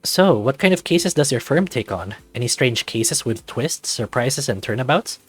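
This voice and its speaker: A man's voice: mid-range voice, animated, moderate pace, breathy edge, soft background music. A man voicing an eager, curious young lifeguard in a visual novel game, friendly and slightly unsure.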